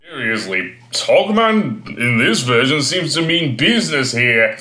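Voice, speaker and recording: A man giving casual, rambling video game commentary with a halting delivery. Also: medium pitch, sing-song, bright, forceful tone. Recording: roomy echo, faint music behind.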